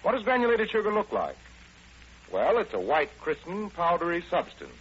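Animated American man on vintage radio. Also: high-pitched, brisk, husky. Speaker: radio show host and detective lead. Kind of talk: 1940s radio presenting and acting. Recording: muffled, hissy old radio broadcast.

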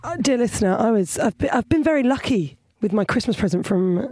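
A woman with a husky voice co-hosting a podcast, chatting and teasing her friend. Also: a little high, expressive, fairly quick, dark tone, no echo. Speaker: podcast co-host. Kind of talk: casual chat.

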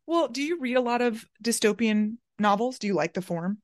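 A woman's voice: on the high side, expressive, fairly quick, dry recording, clean studio sound. A woman hosting a casual interview, chatting in an informal, playful and candid way. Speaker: interviewer, podcast host. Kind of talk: casual chat.

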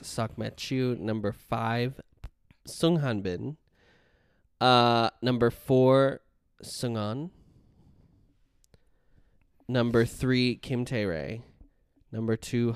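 A man's voice: medium pitch, slow pace, long pauses, clear, resonant tone, no echo. A man co-hosting a casual chat show, blunt and sarcastic, swearing freely. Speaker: podcast co-host.

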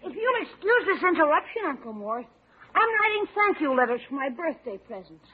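An actor playing a lively schoolboy nephew in an old radio comedy. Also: high voice, fairly quick. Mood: prim, polite. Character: lively schoolboy nephew, by turns prim and rambunctious. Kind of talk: 1940s-50s radio comedy acting. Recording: tinny old radio broadcast, studio audience.

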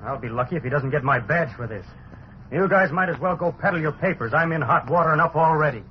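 An actor playing a blunt, stubborn customs inspector with plain, dry, slangy talk, in 1940s radio style. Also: male voice, a little high, fast, natural voice. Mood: resigned. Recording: dull, noisy old radio recording.

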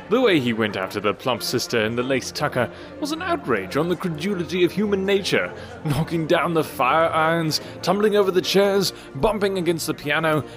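Animated male storyteller over music. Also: on the high side. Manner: theatrical, amused. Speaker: narrator. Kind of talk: expressive scripted storybook narration.

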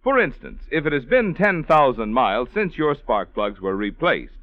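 A man's voice: medium pitch, sing-song, moderate pace. An American man reading scripted announcements in a polished, emphatic 1940s radio style. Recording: muffled vintage radio broadcast recording.

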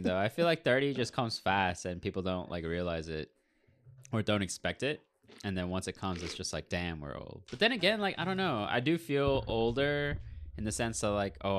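A man around thirty with a low voice, talking in an easygoing, slangy way. He tells casual stories at an unhurried pace on a podcast. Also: fairly bright tone. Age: 30s. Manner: laid-back, slangy storytelling. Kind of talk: casual chat.

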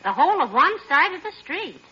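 An American actress playing a wry, skeptical, teasing wife in 1940s radio comedy, with a dry needling manner. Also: female voice, high-pitched, very animated, brisk, sharp tone, heavily acted. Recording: dull, lo-fi 1940s radio recording.

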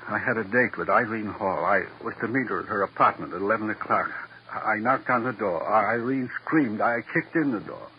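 A man's voice: lowish voice, brisk, close to an everyday voice. An American man playing a rattled, defensive salesman under questioning, stammering, in a classic radio drama. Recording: dull, noisy old radio recording.

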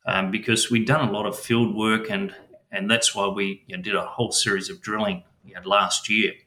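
A man's voice: fairly low pitch, level tone, normal speed, sharp tone, echoey room. A middle-aged Australian man with an Australian accent, answering interview questions as a mining company chief, hesitant and full of fillers and restarts.

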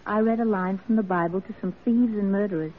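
A woman's voice: mid-range voice, fast talker, phone-quality sound, natural voice. An actress playing a genteel, compassionate, determined lady reformer in an old-time radio drama, earnest and insistent. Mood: firm, insistent. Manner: earnest, insistent, well-mannered. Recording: thin vintage radio recording.

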